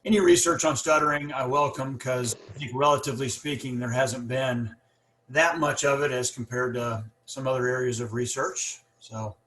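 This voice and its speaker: A man who stutters hosts a relaxed talk, thinking out loud and checking in with "right?" His voice sits at an ordinary middle pitch. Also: normal speed, rough recording. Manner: reflective, ends with "right?". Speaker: podcast host. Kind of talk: casual conversation.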